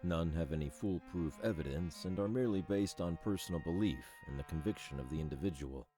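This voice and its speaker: An actor playing a solemn scholar who narrates lore books in a video game in a measured, formal way. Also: male voice, low-pitched, mellow, lightly acted. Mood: solemn, scholarly. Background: faint game music.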